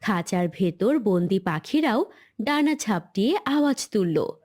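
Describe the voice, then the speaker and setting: Lively woman narrating a children's story. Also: medium pitch, unhurried. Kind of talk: dramatic scripted story narration.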